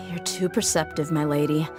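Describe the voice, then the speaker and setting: Low-voiced, worldly mercenary woman. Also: steady tone, a little slow, music in the background. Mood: resigned, explanatory. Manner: casual, colloquial, wry. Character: worldly, weary desert mercenary woman, loyal and blunt. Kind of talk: video game voice acting.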